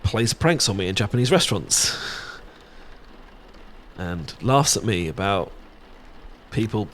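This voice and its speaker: A man's voice: on the low side, expressive, a little slow, fairly bright tone, a little hiss or hum. A British man playing a shy, sweet best friend secretly in love, stammering and hesitant in a romantic ASMR audio roleplay. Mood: tender, bashful.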